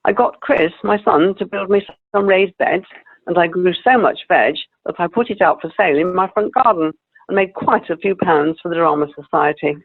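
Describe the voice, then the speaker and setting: A woman's voice: lowish voice, normal speed. A woman playing a sweet, chatty old lady in a comic radio play, genteel and a little wistful. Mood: chatty, pleased. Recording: tinny narrow-band video call audio.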